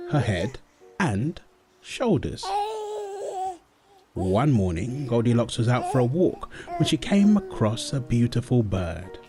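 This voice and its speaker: A man acting a gentle young father at his baby daughter's bedtime, soft, playful and coaxing in an intimate roleplay style. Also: medium pitch. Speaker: audio roleplay performer. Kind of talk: intimate comfort roleplay, storybook reading.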